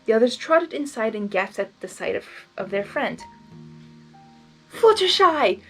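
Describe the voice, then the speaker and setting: A woman reading fan fiction aloud unrehearsed, animated, with stumbles and laughs. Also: mid-range voice, moderate pace, vocal fry, soft background music, low-quality recording. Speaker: reader.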